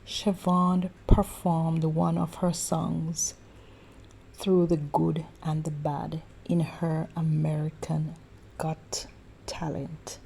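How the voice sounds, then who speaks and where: A woman's voice: deep voice, flat delivery, slow pace, gravelly, warm tone, slight background noise, poor audio. A woman narrating a vlog story in a half-whispered, encouraging way. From Jamaica. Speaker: vlog host. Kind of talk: scripted narration.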